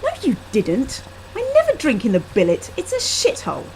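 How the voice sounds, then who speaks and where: A woman's voice: sits high, huge pitch swings, normal speed, darkish tone, voice pushed up, exaggerated voice. A woman voicing an irritable, disdainful young female graduate in a short story. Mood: snappish. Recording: faintly noisy laptop webcam microphone.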